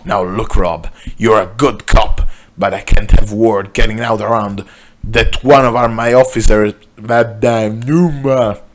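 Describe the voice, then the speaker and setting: A man voicing an exasperated, profane police chief in a comic story, gruff and stern with an officer. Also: fairly low pitch, fairly even intonation, unhurried, natural voice.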